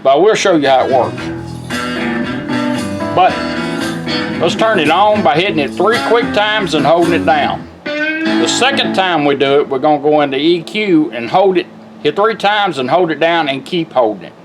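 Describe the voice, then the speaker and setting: A man with a slightly higher-pitched voice walks through a product demonstration in a casual, hands-on way. He is folksy and plain-spoken, giving instructions step by step, with music audible behind him. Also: big pitch swings, moderate pace, pauses often, poor audio. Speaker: radio restorer and demonstrator.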